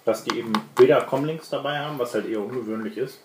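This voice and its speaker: A man's voice: mid-range voice, fast talker, noisy background. Male game master in an echoey room. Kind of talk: narrating a tabletop role-playing game. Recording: echoey room with music underneath. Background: music.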